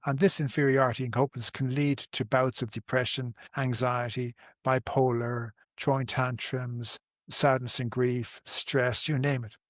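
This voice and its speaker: A man's voice: mid-range voice, little pitch movement, moderate pace, few pauses, dry recording, clean studio sound. A man in his sixties with an Irish accent, a therapist explaining his approach in conversation, earnest and often restarting his words. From Ireland. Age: 60s. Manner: earnest, repeats and restarts words, gives everyday examples.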